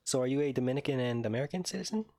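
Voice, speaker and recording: A man's voice: medium pitch, expressive, very fast, creaky, warm tone, no room sound. A young man co-hosting a casual podcast, teasing his friends and working things out step by step. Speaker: podcast co-host.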